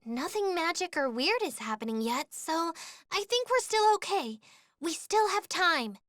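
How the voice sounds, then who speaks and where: An actress voicing a bright, caring and spirited young heroine in a video game. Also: female voice, extremely high pitch, very animated, unhurried, no echo, studio quality, exaggerated voice. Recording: loud music behind the voice.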